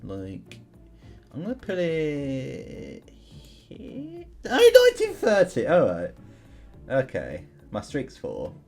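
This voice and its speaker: Young male gamer, lively pitch swings, informal think-aloud commentary, music underneath. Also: slow, long pauses. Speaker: gaming video host. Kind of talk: casual gameplay commentary. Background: music behind the voice.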